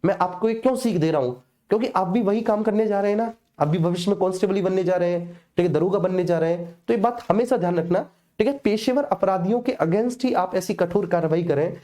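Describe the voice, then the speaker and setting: Mellow North Indian man teaching a class. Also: high-pitched, quick pace, few pauses. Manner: colloquial, didactic, checks in with repeated tag phrases. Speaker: coaching teacher. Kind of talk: live online exam lecture with personal anecdotes.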